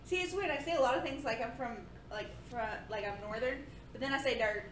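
A woman's voice: sits high, lively intonation, a little fast, recorded from a distance. A woman co-hosting a podcast in casual chat, teasing and playful. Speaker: podcast co-host. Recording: echoey room, off-mic, faint noise.

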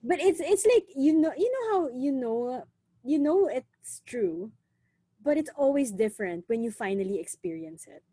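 Woman, young adult, Filipino accent, mellow tone, dry sound, casual chat, animated. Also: high-pitched, normal speed, slightly rough, no echo. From Philippines. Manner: lively, frequent "you know", mixes in Tagalog words. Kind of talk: casual conversation.

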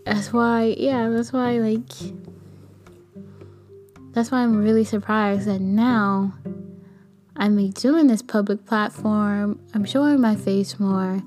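A young woman with a light, soft voice talking casually in a rambling, candid personal podcast monologue. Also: mid-range voice, steady tone, slow, pauses often, faint music behind. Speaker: podcast host; kindergarten teacher.

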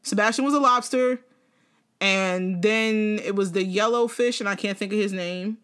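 A young woman co-hosting a podcast in casual chat, animated, laughing often and swearing freely. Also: medium pitch, moderate pace, clean tone. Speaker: podcast co-host.

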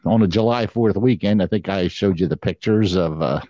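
A man's voice: low voice, normal speed, warm tone, no room sound. Laid-back storytelling man, dry dull sound. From Philadelphia area, USA. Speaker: podcast co-host. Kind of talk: casual chat.

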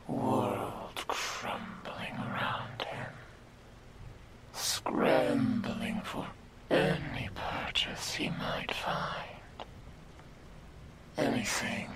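Woman acting a slow, whispering, sinister demon girl. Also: very deep voice, full character voice. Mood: taunting, tempting. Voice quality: breathy whisper, mostly unvoiced. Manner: slow, mocking, condescending, theatrical delivery. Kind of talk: whispered ASMR roleplay. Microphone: close binaural microphone.

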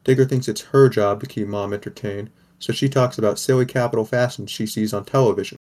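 A man's voice: on the low side, steady tone, fairly quick, darkish tone, close to an everyday voice. A man playing a wry, burdened teenage boy who narrates an audio drama in a plain, understated way. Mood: wry, reflective. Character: sixteen-year-old boy, wry, burdened, caring narrator. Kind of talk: first-person scripted narration, audio fiction.

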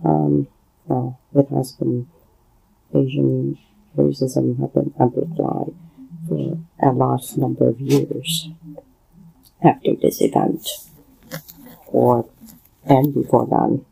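A man from Hong Kong with a Hong Kong accent and Cantonese as his first language, talking alone on a podcast in a halting way, with many fillers and pauses. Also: medium pitch, little pitch movement, vocal fry, warm tone, breathy edge, poor audio. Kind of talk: solo spoken commentary.

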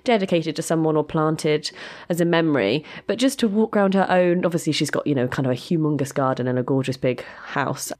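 British woman chatting on a podcast, lowish voice, really gushy and effusive. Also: fairly quick, hardly any pauses, darkish tone. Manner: effusive, gushing. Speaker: podcast co-host. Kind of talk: casual podcast chat.

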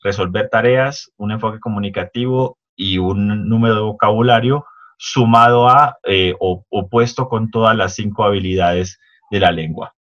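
Low-voiced man on a dull lo-fi recording. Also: fairly bright tone. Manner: measured and explanatory, hedging with fillers. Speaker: co-host discussing an article. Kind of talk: casual podcast chat, explaining. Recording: dull lo-fi sound.

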